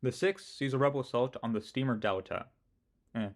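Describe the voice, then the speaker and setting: A man's voice: mid-range voice, brisk. A man narrating a scripted weekly history series, giving his own opinions and joking about his pronunciation. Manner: opinionated, with self-mocking asides.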